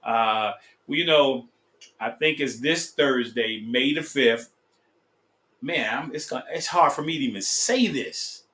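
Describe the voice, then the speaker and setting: Male podcast host, sixties, bright tense voice, upbeat and rambling. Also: mid-range voice, unhurried. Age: 60s. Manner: upbeat and rambling, many fillers and restarts. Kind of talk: casual chat.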